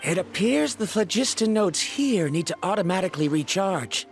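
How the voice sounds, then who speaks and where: A formal, lecturing young scholar. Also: male voice, sits high, expressive, normal speed. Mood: reasoning. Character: learned young male scholar, formal and stubborn. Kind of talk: video game voice acting. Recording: game audio with faint music.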